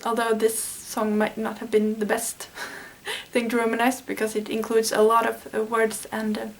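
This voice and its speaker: A woman's voice: fairly high pitch, monotone, normal speed, low-quality recording. A Swedish-speaking woman explaining language study in a casual, earnest vlog lesson, thinking aloud with small fillers. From Sweden. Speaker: language learning vlogger.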